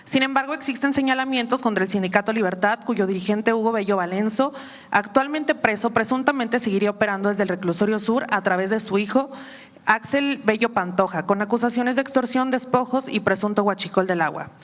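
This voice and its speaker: Lady reporter rattling off a long question, quick pace, mid pitch. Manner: reads a long, detailed question briskly. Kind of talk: asking a question at a press conference.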